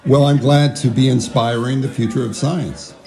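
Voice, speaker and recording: A man acting in an audio drama as an idealistic, modest neuroscientist, measured and explanatory in an understated way. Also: medium pitch, fairly even intonation, gravelly, mellow, natural voice. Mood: modest, polite. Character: idealistic, modest male neuroscientist giving talks. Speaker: actor.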